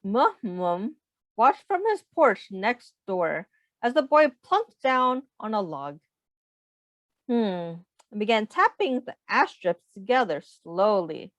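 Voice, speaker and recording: A woman's voice: medium pitch, very animated, slow, no room sound. A woman reading a picture book aloud to young children, patiently explaining and asking simple questions like a teacher.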